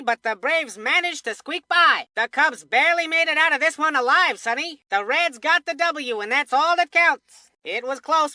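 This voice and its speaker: An actor voicing a folksy, excitable cartoon baseball commentator in a video game, with colloquial, emphatic delivery. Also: high-pitched, no echo, exaggerated voice. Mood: folksy relief. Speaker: sports commentator.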